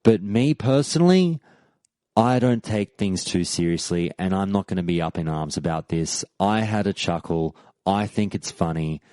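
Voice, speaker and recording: A man hosting a podcast and giving his opinion in a measured, deliberate monologue with firm emphasis. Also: low-pitched, lively intonation, warm tone, no echo. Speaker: podcast host.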